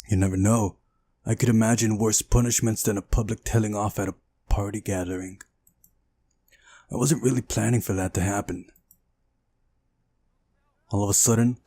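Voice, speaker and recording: A man's voice: fairly low pitch, darkish tone. A man reading a visual novel aloud during a playthrough, narrating the story and adding casual asides of his own.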